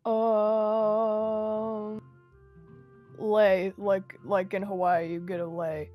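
Female game player, very unhurried and even, medium pitch, casual chat. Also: highs cut off. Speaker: guest player in a word game. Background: light music.

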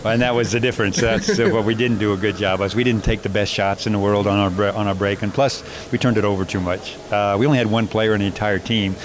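A man coaching basketball, answering postgame interview questions in a measured way with many fillers and restarts. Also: lowish voice, fairly even intonation. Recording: noisy background.

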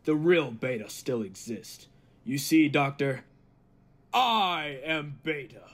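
Man acting a slow, menacing robot mastermind. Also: fairly high pitch, expressive, background noise. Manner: deliberate, menacing, grandiose. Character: cold, megalomaniac humanlike male robot bent on world mastery. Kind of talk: old-time radio drama acting.

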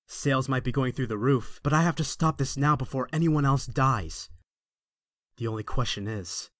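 A man's voice: medium pitch, no echo, close to an everyday voice. A man playing a young, quippy but earnest superhero in a comic dub. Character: young male superhero, wisecracking, earnest and moral. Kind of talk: comic dub acting with narration. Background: music.

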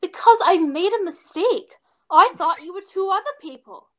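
A woman's voice: very high-pitched, animated, moderate pace. A young woman playing a brash, lovesick chorus girl with slangy, melodramatic tough talk in 1940s radio comedy style. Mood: brash, defiant. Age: young adult. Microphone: seated before camera on video call.

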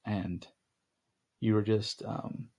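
A man's voice: fairly low pitch, level tone, drawn-out words, warm tone, breathy edge. Rambling young man podcast host. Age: young adult. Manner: rambling, many ums and restarts. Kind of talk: casual chat with his wife.

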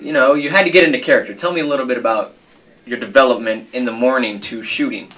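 Man, interviewer, conversational questions, medium pitch, distant mic. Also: fast talker, a bit bright, soft background music. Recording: distant microphone.

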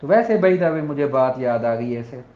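A man with a Pakistani accent, lecturing informally as a law teacher and switching between explanation and slowly read-out legal English. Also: mid-range voice, lively intonation. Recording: dull, lo-fi audio.

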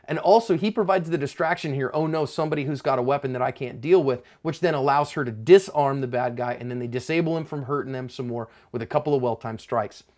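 A man's voice: medium pitch, quick pace, few pauses, a little mellow. A middle-aged American man hosting an instructional self-defense commentary, speaking in an emphatic, teacherly way with folksy phrasing. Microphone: lapel mic.

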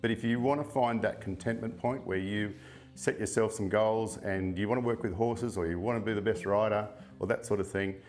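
Relaxed man with low voice. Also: brisk, soft background music. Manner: relaxed, rambling with many fillers and restarts. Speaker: horse farm owner. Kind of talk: interview, casual storytelling.

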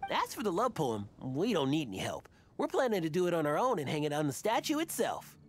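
A man's voice: a little high, huge pitch swings, fairly quick, a little hiss or hum. A man playing a lovestruck young male idol fan in an anime game, earnest, stammering and theatrical. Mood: gushing, proud. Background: music.

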